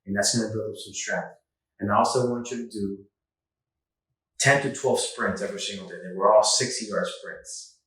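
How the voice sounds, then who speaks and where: A man who grew up in the Dominican Republic, hosting a podcast and telling stories about his past in an earnest, animated way. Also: on the low side, normal speed, recorded from a distance. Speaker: podcast host. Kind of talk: casual chat, storytelling. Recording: echoey room, slightly off mic.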